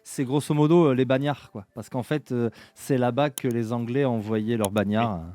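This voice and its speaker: A man running a tabletop game as game master, giving quick explanatory asides. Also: medium pitch, fairly even intonation, dark tone, no room sound, faint music behind.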